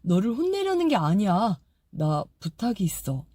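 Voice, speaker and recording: A woman voicing a gentle old chimney who pleads softly and thanks warmly in a storybook audiobook. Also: mid-range voice, huge pitch swings, moderate pace, slightly rough, dry recording, clean studio sound. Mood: pleading.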